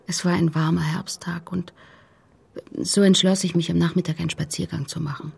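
An actress playing a grieving, guarded middle-aged mother in a radio play, very husky, breathy and creaky, speaking haltingly. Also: fairly low pitch, little pitch movement, a little mellow, full character voice. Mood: subdued, halting. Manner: halting, hesitant.

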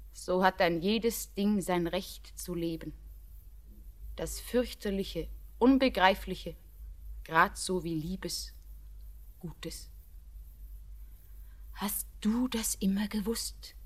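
An actress voicing Anna, a young bride torn between tenderness and despair, moving between hushed whispers and passionate outbursts. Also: female voice, fairly low pitch. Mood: mournful. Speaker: lead actress. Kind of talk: classic radio play acting.

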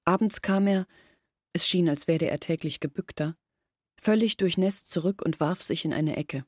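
An actress playing a grieving young village woman who tells of her family's downfall in an earnest, measured radio drama narration. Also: lowish voice, darkish tone, dry recording, clean studio sound, lightly acted. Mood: sorrowful, earnest.

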